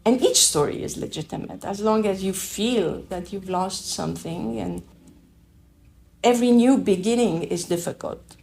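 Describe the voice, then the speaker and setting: A middle-aged woman from Afghanistan with a French accent, telling her own story in a reflective, halting way with many restarts. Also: mid-range voice, vocal fry, a little mellow, low-quality recording. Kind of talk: reflective personal testimony.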